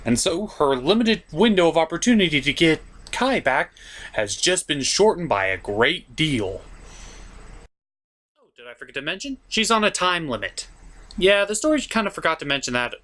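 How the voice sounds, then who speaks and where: A man's voice: a little high, sing-song, normal speed, fairly bright tone. A man narrating a comedic film review in a sarcastic, exasperated manner.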